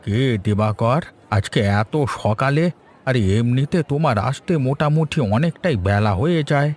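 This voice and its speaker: A man's voice: medium pitch, animated, normal speed, natural voice. A man as a genial, chatty village butcher. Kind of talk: cartoon voice acting.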